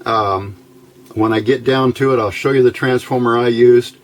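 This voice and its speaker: A middle-aged or older man casually explaining technical details as a hobbyist, halting and thinking aloud, recorded indoors at a worktable. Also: mid-range voice, steady tone. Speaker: hobbyist radio builder giving a tutorial. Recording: noisy amateur home recording.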